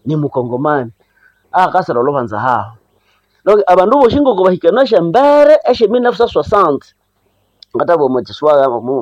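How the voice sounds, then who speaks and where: A man's voice: a little high, a little mellow, dry recording. A man with a Congolese Swahili accent explaining the law to listeners, asking himself rhetorical questions and answering them. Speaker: civic educator presenting a program. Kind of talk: explanatory talk on law, mixing languages. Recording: dull lo-fi dry recording.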